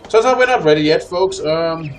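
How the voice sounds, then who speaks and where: A man with a fairly high, lively voice gives casual gaming commentary. He turns to his viewers often and reads on-screen text aloud. Faint noise sits behind him. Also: moderate pace. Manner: animated, addresses the audience. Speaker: let's play host.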